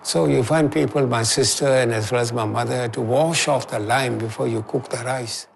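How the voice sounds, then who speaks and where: A man in his eighties recounts wartime memories plainly in a documentary interview. His voice has a gravelly edge at an ordinary pitch, with faint noise behind him. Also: moderate pace. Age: 80s.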